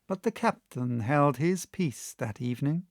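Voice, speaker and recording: A man reading an audiobook as a storyteller, with measured, deliberate phrasing and dramatic pauses. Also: medium pitch, lively intonation, no room sound, clean studio sound. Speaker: audiobook reader. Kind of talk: scripted literary narration, storytelling.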